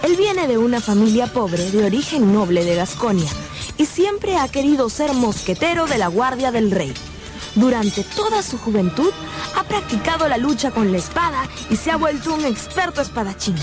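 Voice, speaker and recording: A woman narrating a radio drama in a scripted storytelling style with deliberate emphasis. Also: a little high.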